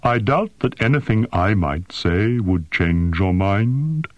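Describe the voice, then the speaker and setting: Male narrator as giant placid alien: low, slow, courteous, formal audiobook character. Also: lively intonation, a little mellow, no echo, highs cut off. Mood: measured. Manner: rumbling, measured, politely formal.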